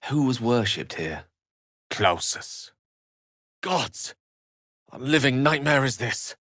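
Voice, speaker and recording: A man's voice: medium pitch, big pitch swings, slow pace, fairly bright tone, no room sound, studio quality, heavily acted. A male actor playing an erudite, wry wizard in a fantasy video game, articulate and theatrical, with a British accent and a streak of melancholy. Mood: curious, animated.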